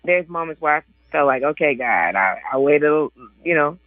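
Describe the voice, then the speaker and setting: A woman's voice: low-pitched, animated, normal speed, no echo. A woman in her forties, an author and guest on a casual radio interview, chatty and laughing often as she quotes other people. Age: 40s. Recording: dry tinny phone line.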